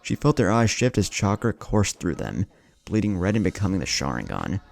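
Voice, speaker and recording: A man's voice: low voice, big pitch swings, normal speed, vocal fry, a little mellow, faint music behind. A man reading a dramatic scripted story as its narrator.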